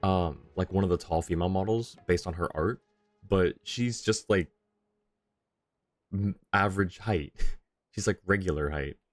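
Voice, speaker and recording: A man's voice: low-pitched, unhurried, long pauses, dry recording, studio quality. A man streaming a video game with casual, rambling commentary, thinking aloud. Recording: faint game music behind.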